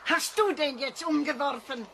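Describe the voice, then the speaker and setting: A cross woman in a children's radio play, with a fairly high voice. She nags and scolds impatiently. The old cassette recording is noisy. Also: moderate pace, gravelly, fairly bright tone. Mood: scolding. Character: cross, nagging woman gathering wood in the forest.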